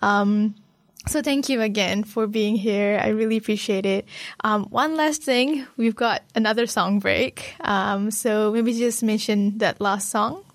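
A woman host whose first language is Malay, friendly and quick to laugh. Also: medium pitch, no room sound. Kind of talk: casual radio chat.

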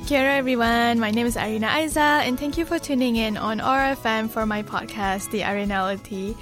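A woman's voice: fairly high pitch, a little fast, fairly bright tone. Woman host, community radio interview, light faint music underneath. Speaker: podcast host.

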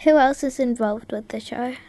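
A woman's voice: on the high side, normal speed, dark tone. A woman hosting a radio talent show, carefully reading out scripted introductions and prepared interview questions.

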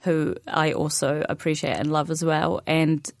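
Palestinian woman, native arabic speaker, young adult, low voice, soft-spoken, many pauses, dry studio sound. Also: flat delivery, gravelly, no room sound. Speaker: interview guest. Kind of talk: radio interview conversation.

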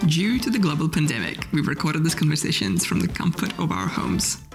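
A woman hosting a podcast from home, asking relaxed questions full of "like". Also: sits low, fairly quick, vocal fry, faint music behind. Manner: relaxed, filler-heavy questions. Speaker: podcast host. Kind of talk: casual podcast chat.

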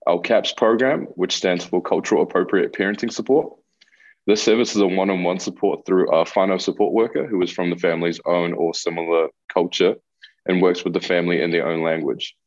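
A man's voice: low voice, fairly even intonation, fairly quick, highs cut off. A young man in his twenties with a New Zealand accent, a guest on a remote interview, earnestly explaining his work in long listed points. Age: 20s. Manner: earnest, listing points, reads prepared remarks. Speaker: guest, youth mentor. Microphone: video call.

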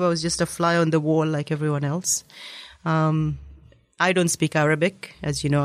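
A woman's voice: low-pitched, no echo. A woman in her thirties, originally from Kenya, thoughtfully telling her story in a casual interview with frequent ums. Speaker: interview guest.

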